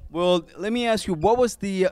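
A man's voice: sits high, a little slow, darkish tone. A middle-aged man hosting red-carpet interviews in a playful, joking way on a handheld microphone. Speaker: TV host interviewing guests. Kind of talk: red-carpet interview, switching English and Spanish. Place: indoor event lobby.

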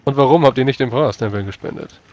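A man's voice: mid-range voice, fast, darkish tone, highs cut off, slight background noise. A man playing a tabletop role-playing game, giving matter-of-fact advice in character. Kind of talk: improvised in-character game talk.

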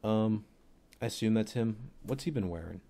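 Low-voiced young man with a cold. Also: normal speed, dark tone. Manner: rambling, offhand, thinks aloud with many fillers. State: sick, voice deeper than usual. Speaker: football film analyst. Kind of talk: casual screen-recorded film breakdown.

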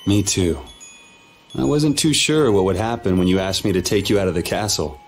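A man's voice: low-pitched, normal speed, slight background noise. A man playing a laid-back, wry young wandering swordsman in a video game, with a casual, dry, understated delivery. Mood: relaxed.

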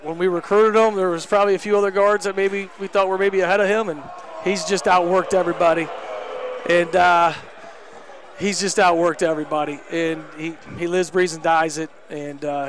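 A man in his thirties, a head basketball coach, talking candidly after a game on a headset mic in a gym, with lots of fillers. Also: a little high. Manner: candid, emphatic, many fillers. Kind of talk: post-game interview. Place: indoor gym court.

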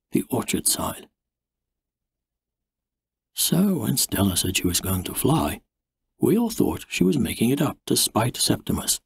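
A man reads a story aloud with plenty of drama. His voice is very husky and breathy, and his pitch rises and dips in a lively way. He takes his time over each phrase, recorded close and dry. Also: dry recording. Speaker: audiobook narrator. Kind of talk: expressive storybook narration.

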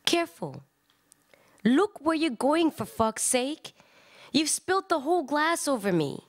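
A woman actor giving an expressive live reading of a literary text, moving between conversational asides and declamation. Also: high voice, measured pace.

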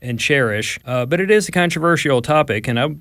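A man with a Southern US accent, a news guest on a radio talk show, explaining current events in a relaxed, conversational way with frequent hesitations. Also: fairly high pitch, brisk, no echo, studio quality. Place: radio studio.

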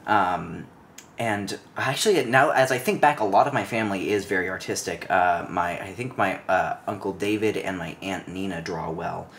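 A man's voice: deep voice, normal speed, a little hiss or hum. A young man in his twenties, a podcast guest, rambling through childhood stories in a casual chat on a living room couch, speaking into a studio mic on a stand. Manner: rambling, many restarts and fillers, animated storytelling. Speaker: podcast guest, cinematographer and actor.

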